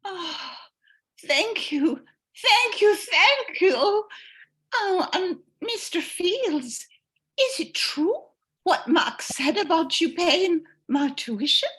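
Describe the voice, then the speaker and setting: A young nursing student held captive in a vintage radio play. Her voice is very high and bright, with slow, theatrical delivery. She is pleading yet spirited. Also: very animated, heavily acted. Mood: tearful relief. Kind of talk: amateur old-time radio drama acting.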